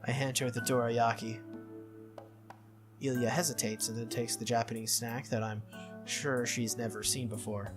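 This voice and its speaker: A man's voice: mid-range voice, level tone, moderate pace, music in the background. A man narrating a visual novel aloud as a gaming video host, in a read-through style.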